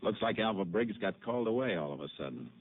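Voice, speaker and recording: An actor playing a steady Texas Ranger investigator, calm, clipped and matter-of-fact, in a 1950s radio drama. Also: male voice, on the low side, fast. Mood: firm. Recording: tinny old radio broadcast.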